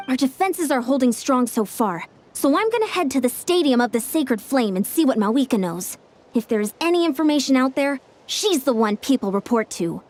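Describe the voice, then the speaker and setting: A fairly high-pitched young female warrior in a video game. She is earnest and practical, giving her reports in a direct manner. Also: a little mellow. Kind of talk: video game dialogue acting.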